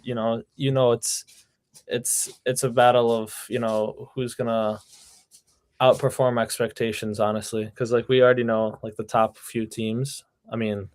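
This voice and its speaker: A man co-hosting a podcast, talking sports casually and hesitantly with many fillers. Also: on the low side. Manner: hesitant, lots of "you know" and "like". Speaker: podcast co-host.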